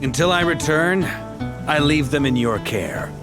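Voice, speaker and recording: An actor playing a bold, roguish veteran knight commander in a game, confident, wry and theatrical. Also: male voice, a little high, lively intonation, unhurried. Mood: hearty, rousing. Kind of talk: dramatic scripted game dialogue. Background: music.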